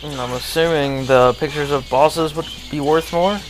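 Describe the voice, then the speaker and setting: Male co-commentator, slightly high voice, wry short interjections, casual gaming banter over music. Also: fairly even intonation, normal speed, low-quality recording. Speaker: let's play co-commentator. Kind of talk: casual video game banter. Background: video game music and sound.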